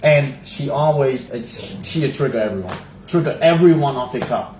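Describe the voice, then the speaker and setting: Halting man in an echoey room. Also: medium pitch, animated, a little mellow. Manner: halting, full of fillers and restarts. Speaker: co-host. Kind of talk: casual podcast chat. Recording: echoey room, faint hum.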